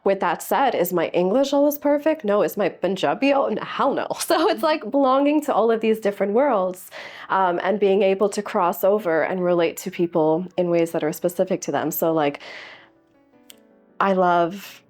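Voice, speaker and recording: A woman's voice: medium pitch, normal speed, darkish tone, soft background music. Earnest woman in her early thirties. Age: early 30s. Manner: thoughtful, earnest, many "like" fillers. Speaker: interview guest speaker. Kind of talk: reflective conversational answers.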